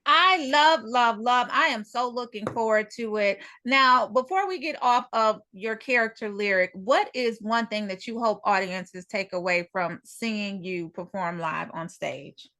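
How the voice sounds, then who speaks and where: A woman interviewing in a casual, chatty way. Her voice is fairly high and bright, with a forward edge. She is enthusiastic and quick to affirm. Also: no echo.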